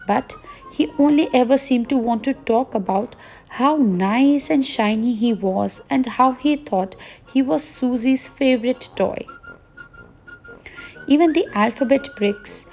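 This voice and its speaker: A woman telling a bedtime story in a gentle, unhurried reading voice over soft background music. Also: on the high side, rough recording.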